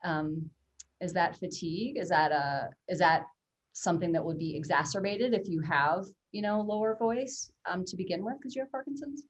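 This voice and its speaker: A woman's voice: on the low side, normal speed, breathy edge, rough recording. A woman hosting a webinar, reading viewer questions aloud with frequent fillers. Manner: friendly, reads questions with many fillers. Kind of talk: casual webinar Q&A.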